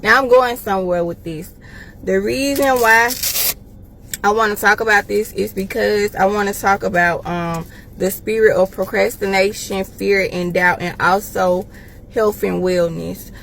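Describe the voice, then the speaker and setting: A woman in her thirties with an American accent, speaking informally and deliberately in a casual, confessional vlog, pausing for emphasis. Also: mid-range voice, steady tone, creaky, fairly bright tone, a little hiss or hum. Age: 30s. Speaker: life coach and evangelist making a personal video.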